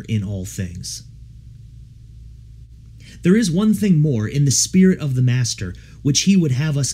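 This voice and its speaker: A man reading a devotional audiobook in an earnest, measured, formal way. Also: mid-range voice, warm tone. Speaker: audiobook reader. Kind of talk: scripted audiobook narration of a devotional text.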